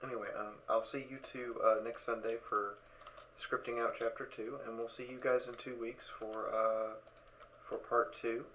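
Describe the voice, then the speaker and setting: A man hosting a casual live stream over voice chat, talking haltingly and repeating his words as he organises. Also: mid-range voice, flat delivery, bright, forceful tone. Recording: dull voice chat with background noise.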